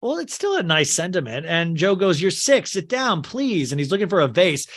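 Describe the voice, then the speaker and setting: A man's voice: sits high, very animated, moderate pace. A man hosting a podcast with a strained, worn voice, recapping a film scene by scene and acting out its lines in put-on voices. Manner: acts out quotes in shifting put-on voices. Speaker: podcast host.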